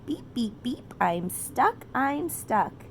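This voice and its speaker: A woman voicing a small, friendly toy truck in a children's storybook, calling out in a high, squeaky voice. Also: very animated, takes it slowly, a little hiss or hum, full character voice. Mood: panicky.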